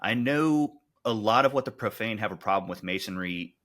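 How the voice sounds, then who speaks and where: Male podcast host, lowish pitch, bright edgy tone, restarts and repeats while working toward his point. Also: lively intonation. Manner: halting, repeats words while building a point. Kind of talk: casual podcast conversation.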